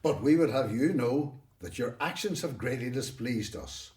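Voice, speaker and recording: A man acts a displeased Hebrew elder in a biblical radio play, standing off from the mic. His mid-pitched voice is formal and reproachful. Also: expressive, normal speed, darkish tone, natural voice. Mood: reproachful, angry. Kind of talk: radio Bible drama acting. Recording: distant from microphone.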